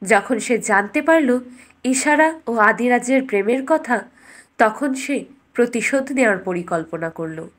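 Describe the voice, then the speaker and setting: A woman with a fairly high voice narrates a fairytale. She delivers it as a scripted bedtime story, in the role of storyteller. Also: animated, measured pace.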